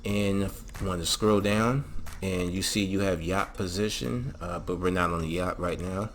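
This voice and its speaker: A man's voice: low-pitched, normal speed, slight background noise. A man narrating a casual step-by-step game tutorial, instructing and often checking in with the listener. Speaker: host of a game mod tutorial.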